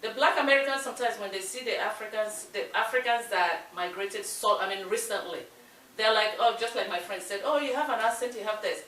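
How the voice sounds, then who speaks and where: A woman's voice: mid-range voice, a little fast, fairly bright tone. A woman from Nigeria with a Nigerian accent, co-hosting a talk show and making her points in an earnest, emphatic way. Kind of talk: casual discussion. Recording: echoey room with light hiss, mic distant.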